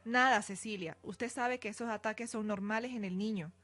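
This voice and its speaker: A woman's voice: mid-range voice, normal speed, fairly bright tone, natural voice. A woman acting a resolute, tender noblewoman in a student radio drama, earnest and dramatic. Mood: resolute, reassuring. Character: resolute, tender noblewoman and mother facing danger.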